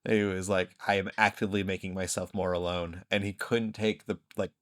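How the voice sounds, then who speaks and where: A man hosting a podcast, telling rambling, animated stories in casual conversation. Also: deep voice, a little fast. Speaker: podcast host.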